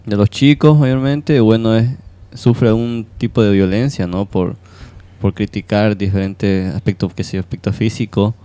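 A man's voice: fairly low pitch, fairly even intonation, measured pace, dark tone, smooth. A man offering an informal opinion in a discussion, hesitant and groping for words. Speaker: panel participant.

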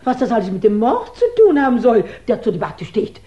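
Eine Frau spielt in einem Krimi-Hörspiel der sechziger Jahre eine selbstbewusste, ausweichende Geschäftsfrau, die hochmütig und abwehrend auftritt. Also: female voice, on the high side, sing-song, brisk, warm tone. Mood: defensive, indignant. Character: evasive, self-assured businesswoman under suspicion, defiant. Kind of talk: 1960s German radio crime drama acting. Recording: dull 1960s radio recording.

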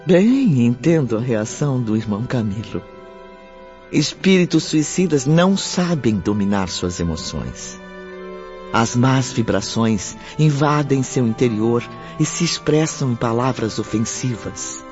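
A man's voice: mid-range voice, animated, measured pace, natural voice. A man with a Brazilian accent narrating a radio drama in a composed, understanding manner. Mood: measured, understanding. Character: composed, understanding male narrator from the spirit world. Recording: dull lo-fi audio, faint music.

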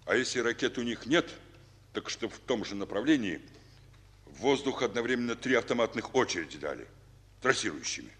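An actor playing a weary, fatherly general in a Russian war drama, speaking in a pensive, halting way. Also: mid-range voice, big pitch swings, a bit bright, breathy edge, exaggerated voice. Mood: grave, fatherly. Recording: old reverberant radio recording with music.

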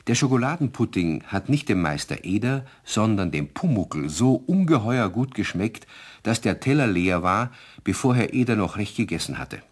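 A genial male narrator tells a children's radio tale in a deep voice. He addresses the young listeners playfully, with expressive, widely varied intonation. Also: moderate pace, husky. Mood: confiding. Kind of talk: storytelling for children in a radio play.

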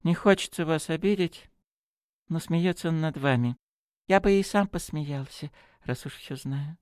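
A man voicing an excitable, apologetic little priest in an audiobook, with a flustered, gushing way of exclaiming. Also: high voice, moderate pace, no echo, studio quality, voice pushed up. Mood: sheepish, puzzled. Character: excitable, apologetic, stubby little male priest with childlike wonder. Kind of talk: audiobook character acting.

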